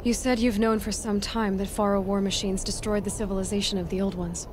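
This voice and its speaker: A woman's voice: medium pitch, flat delivery, a little fast, background noise, lightly acted. An actress playing a determined young female hunter, blunt and questioning. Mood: inquiring. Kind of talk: video game cutscene acting.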